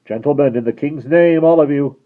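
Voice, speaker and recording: A man voicing a gruff, suspicious coach guard who shouts threats, on a tinny phone-like recording. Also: lowish voice, steady tone, moderate pace, pitched above the performer's own voice, close to an everyday voice. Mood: shouting threats.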